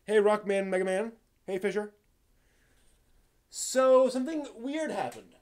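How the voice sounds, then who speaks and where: An American man hosting a live stream, reading out news in a casual, halting, off-the-cuff way. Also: sits high.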